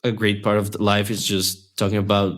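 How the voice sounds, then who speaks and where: A man's voice: fairly low pitch, flat delivery, brisk, fairly bright tone. A young man whose first language is Spanish, a podcast interview guest speaking in a friendly, reflective way, often restarting his sentences. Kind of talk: casual interview conversation.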